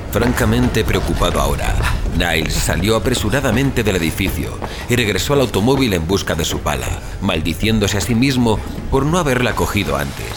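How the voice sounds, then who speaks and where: A man's voice: on the low side, sing-song, normal speed, background noise. A man narrating a scripted suspense horror story as an audio fiction narrator. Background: music.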